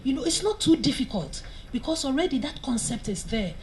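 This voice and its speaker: Emphatic, higher-voiced woman making a point. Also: sing-song, a little fast, slight background noise. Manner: emphatic, persuasive. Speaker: conference speaker.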